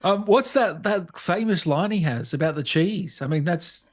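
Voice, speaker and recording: A man's voice: high voice, animated, normal speed. A man hosting a casual interview, asking chatty questions. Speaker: interview host.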